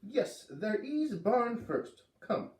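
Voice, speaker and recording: A man's voice: high-pitched, big pitch swings, extremely slow, pitched above the performer's own voice, full character voice. A man voicing a sneaky, bossy old neighbor woman from a storybook, giving clipped commands in broken English. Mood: curt, commanding. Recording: roomy, distant microphone.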